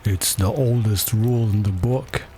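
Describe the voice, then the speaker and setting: Give me a man acting a slow, pompous, low-voiced king. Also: warm tone, a little hiss or hum, close to an everyday voice. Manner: grave, self-important. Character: pompous, slow-witted old king as judge.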